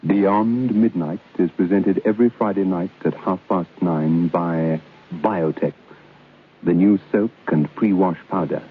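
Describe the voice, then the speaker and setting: Low, husky male radio announcer. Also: unhurried. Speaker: programme announcer. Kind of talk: scripted radio sign-off. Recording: tinny old radio recording.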